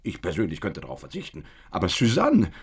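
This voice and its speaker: An excitable middle-aged father beside himself with outrage. His husky voice leaps high in outbursts and sinks to mutters in a radio play. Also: a little fast, heavily acted. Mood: aggrieved. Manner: agitated, swinging from shouts to mutters.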